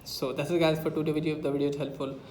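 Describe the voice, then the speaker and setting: A man's voice: on the high side, level tone, racing, darkish tone. A man narrating a step-by-step phone app tutorial with repetitive instructional phrasing. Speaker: tech tutorial YouTuber. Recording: roomy echo, faint background noise.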